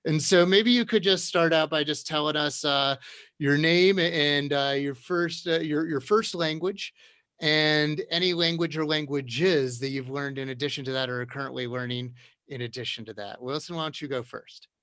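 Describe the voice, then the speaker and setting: A man's voice: fairly high pitch, moderate pace, fairly bright tone, no room sound, studio quality. A man moderating an online round-table, chatty and encouraging, often restarting his questions.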